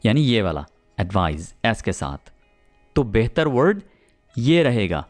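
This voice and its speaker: Slow, lively Pakistani English teacher. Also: male voice, fairly low pitch, faint music behind. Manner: explains patiently, repeats example sentences slowly and checks in with "theek hai?". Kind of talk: spoken English lesson.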